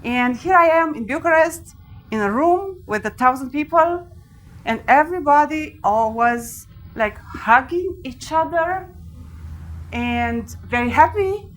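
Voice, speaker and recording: A woman in her forties with a Romanian accent, giving a live talk to an audience with animated storytelling and frequent laughter. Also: high-pitched, a little slow. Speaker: speaker. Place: large event hall with an audience.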